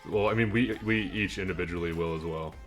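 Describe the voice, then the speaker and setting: A man's voice: sits low, fast, soft background music. A man hosting a podcast in casual, bantering group chat. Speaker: podcast host.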